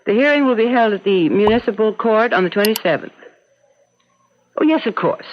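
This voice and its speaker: A woman acting a brisk law office secretary in a vintage radio drama. She is courteous and professional, with a mid-pitched voice that moves in animated swings. The recording is dull and lo-fi. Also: a little hiss or hum, lightly acted. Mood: businesslike. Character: brisk, polite female law office secretary, protective of boss.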